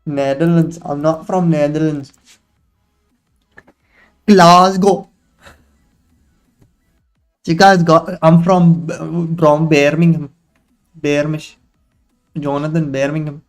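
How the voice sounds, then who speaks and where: Young guy doing a trading livestream, bit high voice, chatty and slangy, faint music behind. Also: steady tone. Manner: banters with chat in slang, then explains trades in quick, loose bursts. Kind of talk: casual live-stream chat and chart analysis.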